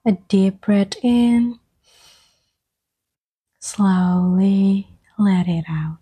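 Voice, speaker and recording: A soft-spoken woman gently guiding an ASMR meditation, breathy and often slipping toward a whisper, with soothing, unhurried phrasing. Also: mid-range voice. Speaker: ASMR guide and host.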